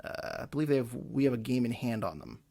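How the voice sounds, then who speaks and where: A man's voice: medium pitch, expressive, quick pace, vocal fry, dark tone. A man hosting a casual soccer podcast, chatting loosely with many filler words and self-corrections. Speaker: podcast host. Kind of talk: casual sports podcast chat.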